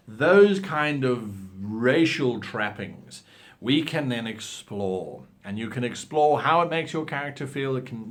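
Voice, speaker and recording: Male host, medium-pitched, instructional, animated, theatrical, emphatic phrasing. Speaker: host of a role-playing advice show. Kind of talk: instructional talk to viewers with acted example lines.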